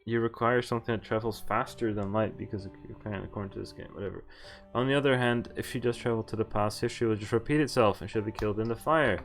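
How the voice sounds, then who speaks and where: A man doing casual game-stream commentary, reading dialogue aloud and thinking out loud in informal, sweary language. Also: mid-range voice, creaky.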